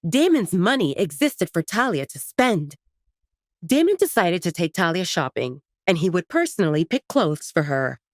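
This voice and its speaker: A woman's voice: lowish voice, big pitch swings, moderate pace, no room sound, clean studio sound. A woman narrating a scripted romance story in a dramatic audiobook style.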